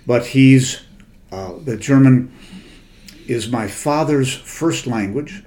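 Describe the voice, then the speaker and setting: A man's voice: mid-range voice, slow, mellow, slight background noise. An older man giving a conversational lecture as a literary translator, thoughtful and digressive, with frequent hesitations and self-corrections. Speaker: literary translator and guest speaker.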